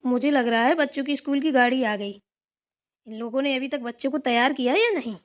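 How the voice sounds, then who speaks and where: Woman voicing a high-voiced, bossy mother-in-law. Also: fairly quick, close to an everyday voice. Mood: bossy, scolding. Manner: homely, rambling, instructing. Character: bossy, chatty older village mother-in-law. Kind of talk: amateur Hindi family cartoon dialogue.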